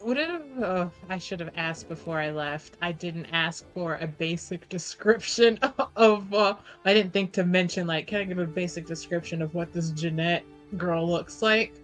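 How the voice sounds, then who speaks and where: A woman's voice: lowish voice, normal speed, soft background music. A woman chatting casually as a player in a tabletop roleplaying game, informal and thinking aloud, with the odd swear word.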